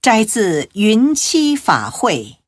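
A woman giving a solemn, measured and expressive scripted narration of classical religious biography. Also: medium pitch, slightly rough, dry recording, studio quality.

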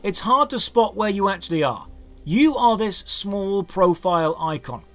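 A man's voice: high-pitched, animated, unhurried, fairly bright tone, soft background music. A British man in his thirties narrating a scripted, critical video game review in an emphatic, sardonic manner. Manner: emphatic, rhetorical questions, sardonic.

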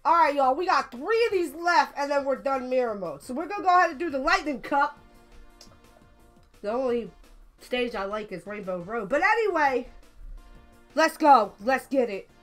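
A young woman with a slightly raspy voice from being sick, chatting casually and swearing as she plays a racing game on a headset mic. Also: high voice, expressive, moderate pace, soft background music. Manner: chatty, swears often, loud outbursts at the game. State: a little sick. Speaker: gaming channel host. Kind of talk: casual let's-play commentary.

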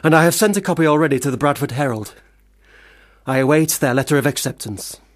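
An actor in a period radio drama playing a boastful but fragile young failed poet, husky, halting and formally earnest. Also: male voice, a little high, natural voice. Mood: grandiose.

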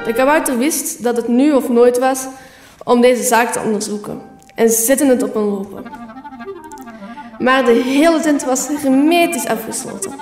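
A woman's voice: high-pitched, normal speed, lightly acted. A woman reading scripted narration for a radio play. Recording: echoey room, faint music.

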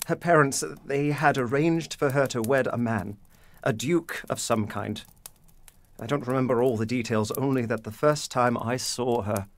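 Male actor as middle-aged father, period audio drama, weary, guilt-laden, formal wording, halting earnest delivery, medium pitch.

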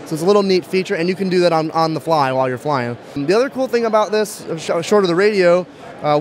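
A man in his thirties demonstrating a product in an interview, speaking into a handheld microphone at a trade show. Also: a little high. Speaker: brand manager demonstrating a product. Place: busy trade show floor.

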